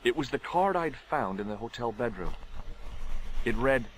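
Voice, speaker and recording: A man's voice: medium pitch, moderate pace, close to an everyday voice. Man playing a wry sleuth, noisy audio. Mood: wry, matter-of-fact. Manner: wry, deadpan. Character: wry, easygoing young male amateur sleuth. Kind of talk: scripted game narration and dialogue.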